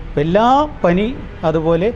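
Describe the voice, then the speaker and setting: The recording is muffled, with faint outdoor noise behind. A man with a Kerala accent speaks in a fairly high voice. He presents to the public in long, formal, haltingly phrased sentences. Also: darkish tone. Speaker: presenter explaining the show to the public. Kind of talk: public awareness address.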